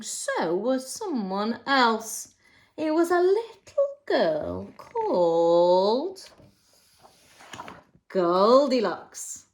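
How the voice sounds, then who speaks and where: A woman reads a storybook for little children in a slow, swooping voice. She leans on key words and leaves dramatic pauses, like an infant school teacher. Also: a little high. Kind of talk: expressive children's storybook reading.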